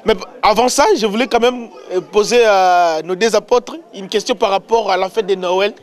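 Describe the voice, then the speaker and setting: A man hosting a religious interview in a halting, repetitive way. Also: sits high. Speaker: religious show host.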